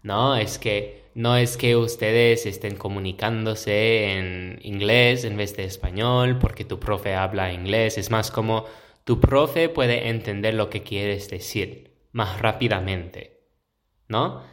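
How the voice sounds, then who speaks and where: Unhurried American male podcast host, non-native speaker, thoughtful and conversational. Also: fairly low pitch, a bit bright. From United States. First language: English. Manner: reflective, explains points in a measured, conversational way. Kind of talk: solo educational podcast.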